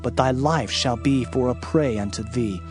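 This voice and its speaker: A man's voice: mid-range voice, normal speed, over music, close to an everyday voice. A man playing the Lord God in a dramatized scripture reading, formal and declamatory, a solemn sovereign making promises. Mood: solemn, proclaiming.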